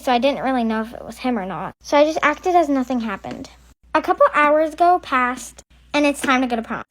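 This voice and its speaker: A woman's voice: high voice, low-quality recording. A young woman narrating a first-person storytime in short, plain phrases.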